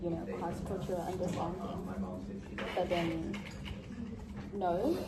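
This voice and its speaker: A woman's voice: fairly low pitch, poor audio. Chatty young Vietnamese woman, crowd behind. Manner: chatty, heavy use of "like", laughs often. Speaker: interviewee. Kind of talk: casual interview answers. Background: loud crowd chatter.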